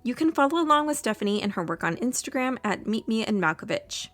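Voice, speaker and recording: A woman's voice: on the high side, very animated, fast talker, a bit bright, faint music behind. A woman hosting a podcast, reading scripted closing announcements and credits. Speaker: podcast writer, reader and host.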